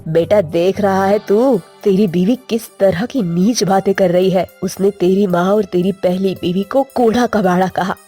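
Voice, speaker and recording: A woman's voice: mid-range voice, moderate pace, few pauses, warm tone, husky, lightly acted. A woman playing a stern, protective old village mother-in-law with an emphatic delivery in a cartoon moral story. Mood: scolding. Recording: faint music behind the voice.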